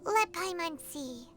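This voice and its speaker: A woman voicing a tiny, childlike, curious and excitable fairy companion in a video game. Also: heavily acted. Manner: refers to self in third person, squeaky and excitable.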